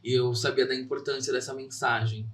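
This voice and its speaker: A man's voice: medium pitch, little pitch movement, a little fast, distant mic. A young man from São Caetano, a theater actor who speaks as a guest in an earnest, heartfelt way. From São Caetano, Brazil. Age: young adult. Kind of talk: interview answers. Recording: roomy sound, a bit off-mic.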